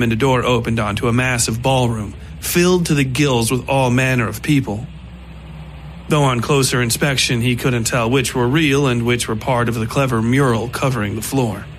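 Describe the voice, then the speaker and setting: A man reading scripted dark fiction as narrator, in a measured, literary storytelling manner. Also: mid-range voice, a little hiss or hum, rough recording.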